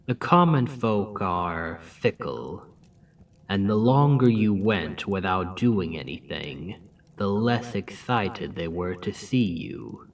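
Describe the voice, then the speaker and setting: Man playing a scheming demon king for ASMR roleplay, low-pitched and unhurried, mocking drawl with whispers, mic set back. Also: distant mic. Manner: slow, soft-spoken, mocking drawl with dips into whisper.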